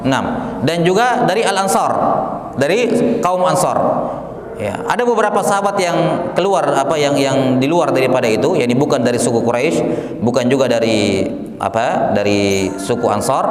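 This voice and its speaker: Teacher in an echoey hall. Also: male voice, mid-range voice. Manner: explains patiently with fillers and repeats, mixes in Arabic phrases. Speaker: Islamic studies teacher. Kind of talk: religious lesson on a classical text. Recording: echoey hall with faint background noise.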